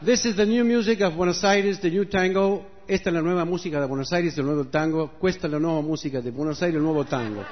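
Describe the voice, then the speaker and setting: A man's voice: fairly high pitch, fast, hardly any pauses, a little hiss or hum. A man from Argentina introducing his music to a concert audience from an outdoor stage, switching languages and joking in a loose, conversational way. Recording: muffled live recording with audience.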